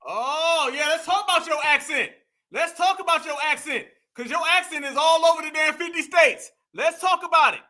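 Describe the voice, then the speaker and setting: Man, commentary host, piercing bright tone, slangy, mocking, bursts of shouting. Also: very high-pitched, moderate pace.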